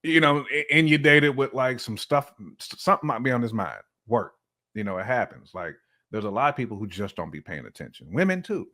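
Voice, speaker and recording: A man's voice: mid-range voice, very animated, brisk, bright, forceful tone. A man with an American accent talking casually and colloquially as a podcast co-host, with plenty of slang. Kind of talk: casual conversational discussion.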